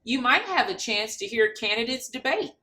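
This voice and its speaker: A woman reading a children's picture book aloud in an expressive, deliberate way, speaking directly to young listeners. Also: a little high. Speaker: storybook reader. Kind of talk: animated children's read-aloud.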